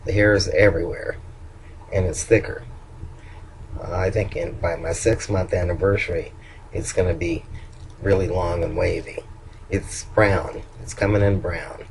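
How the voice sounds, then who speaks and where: An older man talking in a very deep voice that turns a little nasal at times and sounds hoarse. He speaks haltingly, with many ums, pauses and restarts. A faint room ambience sits behind him. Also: fairly even intonation, slight background noise, poor audio. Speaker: vlogger. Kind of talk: casual personal video update.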